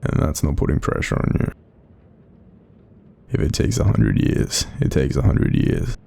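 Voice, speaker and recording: Man playing a very deep, gravelly Australian demon, gentle. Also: sing-song, a little slow, heavily acted. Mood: soothing, reassuring. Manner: gentle, reassuring, informal. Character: kind, supportive male sleep paralysis demon watching over someone. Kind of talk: soothing roleplay audio monologue.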